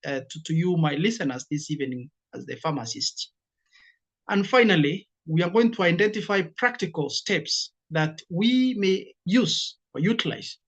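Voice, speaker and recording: A man, a university counselor giving a formal presentation and laying out his points in a measured, numbered order. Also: a little high, a bit bright.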